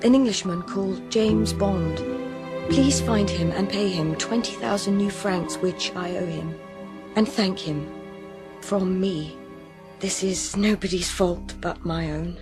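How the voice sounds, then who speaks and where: An actress plays a resigned, despairing young woman in a radio drama. She speaks slowly and softly subdued at a medium pitch, as if voicing a farewell letter. Music plays clearly under her. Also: close to an everyday voice. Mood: sorrowful resignation.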